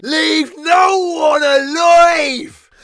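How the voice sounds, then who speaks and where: An actor playing a rough, cocky, bloodthirsty mercenary in a medieval video game, loud, exclamatory and irreverent. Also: male voice, very high-pitched, fairly even intonation, drawn-out words, full character voice. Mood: battle fury. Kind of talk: video game battle voice lines.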